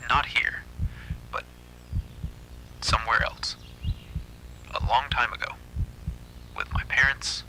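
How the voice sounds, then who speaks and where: A man voicing a young woman camper who is controlled, analytical and quietly frightened, in a hushed, measured horror-story reading. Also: mid-range voice, vocal fry, a little hiss or hum, full character voice, rough recording. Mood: controlled fear.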